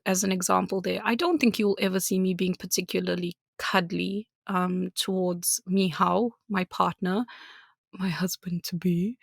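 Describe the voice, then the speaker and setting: South African woman with a gravelly voice. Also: medium pitch, dry recording, studio quality. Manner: candid, laughs often, rants with swearing. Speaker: podcast co-host. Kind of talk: casual chat.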